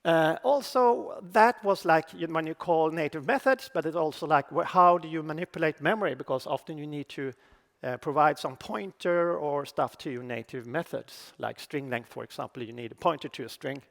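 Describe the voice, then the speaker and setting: A man's voice: on the high side, vocal fry. Swedish-accented man speaking in a hall. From Sweden. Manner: halting, with frequent "uh" fillers and restarts. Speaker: conference speaker. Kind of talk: technical conference talk. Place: large conference hall on stage. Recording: reverberant conference hall.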